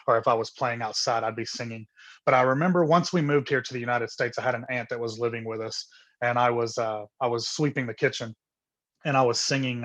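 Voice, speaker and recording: Man, middle-aged, from Honduras, guest singer, mid pitch, earnest, relaxed, lots of ums, video call. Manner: relaxed and earnest, full of "um" and "uh", repeats words. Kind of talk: casual interview storytelling.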